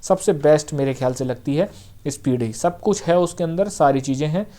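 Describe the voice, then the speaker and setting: A young man with a fairly high voice coaches exam candidates in a relaxed, talky way. He repeats his main points and keeps checking in with his listeners. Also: normal speed. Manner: direct, repetitive coaching, frequent "theek hai" check-ins. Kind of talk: casual exam-prep advice talk.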